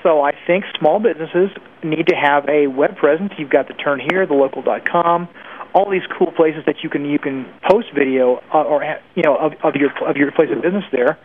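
A man's voice: fairly high pitch, fairly quick. A man giving informal, opinionated answers as an interview guest, speaking conversationally and with emphasis. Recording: narrow phone-like line with faint noise.

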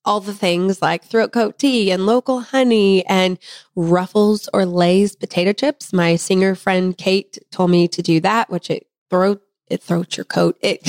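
Chatty, slightly hoarse woman. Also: mid-range voice, dry recording. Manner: chatty, talkative, laughs easily. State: slightly hoarse, recovering from laryngitis. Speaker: podcast co-host. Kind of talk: casual chat.

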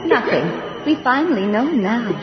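Actress for eerie, coy graveyard woman, radio horror; lively sing-song female voice with dull lo-fi sound. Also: a little high, normal speed, music in the background, close to an everyday voice. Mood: taunting, conspiratorial. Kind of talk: radio horror drama acting. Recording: muffled old radio drama recording.

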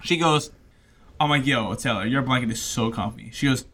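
A man co-hosting a podcast in casual, crude banter, telling stories in a profane, excitable way. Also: medium pitch, normal speed, husky. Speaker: podcast co-host.

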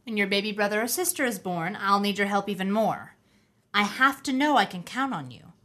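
An actress playing a young mother in her thirties who speaks firmly to her son and gently to her little daughter. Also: mid-range voice, lively intonation, brisk, lightly acted. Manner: firm, gentle maternal. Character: young mother, firm yet tender, steady in crisis.

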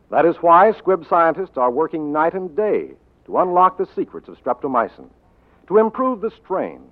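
Animated 1940s American radio announcer man, tinny. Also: fairly high pitch. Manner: polished, earnest, formal delivery. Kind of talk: 1940s scripted sponsor announcement and credits. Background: music.

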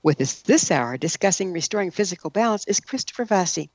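A woman's voice: on the low side, expressive, brisk, darkish tone, no echo. A woman, hosting a radio interview show in a scripted broadcast style.

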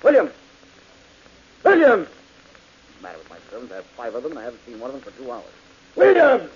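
A man's voice: mid-range voice, huge pitch swings, a little fast. An actor playing an imperious wealthy man giving orders in an old radio drama. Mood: blustering. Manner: blustering, imperious. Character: smug wealthy man losing his grip. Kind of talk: 1940s radio acting. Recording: dull old radio broadcast with hiss.

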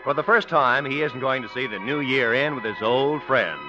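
A man's voice: medium pitch, lively intonation, moderate pace, music in the background. A man with an American accent announcing and narrating in scripted 1950s network radio style. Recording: muffled vintage radio broadcast.

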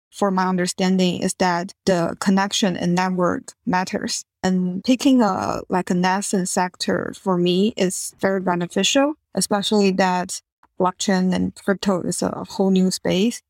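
A woman, answering interview questions in an earnest, explanatory way with slightly halting phrasing over a remote call. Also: medium pitch, dry recording. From Taiwan. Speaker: podcast guest.

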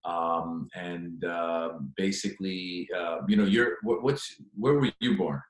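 A deep-voiced man in his forties, from Iran and speaking with an American accent, tells his story as a podcast guest. He rambles, restarts and fills gaps with "uh" and "um". His voice carries the echo of a roomy space. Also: slow. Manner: rambling, many fillers and restarts. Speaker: podcast guest, stand-up comedian. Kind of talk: casual interview chat.

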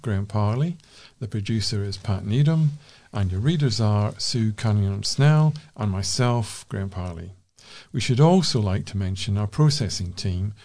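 A man's voice: lowish voice, dark tone. A British man reading local news aloud as a volunteer newsreader.

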